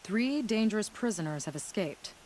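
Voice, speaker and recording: An actress playing a stern, hard-to-impress prison warden in a video game, commanding and clipped. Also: female voice, medium pitch, expressive, normal speed, darkish tone, slight background noise, natural voice, rough recording. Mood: stern, appraising. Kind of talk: video game dramatic acting.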